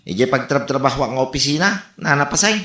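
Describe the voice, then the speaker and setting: An exasperated Ilocano worker in a comedy radio drama. His bright, forceful voice leaps up and down as he grumbles and exclaims. The recording has a roomy echo. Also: mid-range voice, lively intonation, brisk. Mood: put-upon. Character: exasperated Ilocano working man pestered by nosy neighbours.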